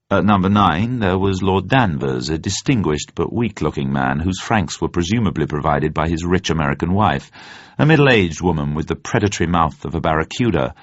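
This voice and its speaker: A man reading scripted fiction as narrator, with measured, deliberate storytelling. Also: low voice, dry recording, lo-fi sound. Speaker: narrator, reader.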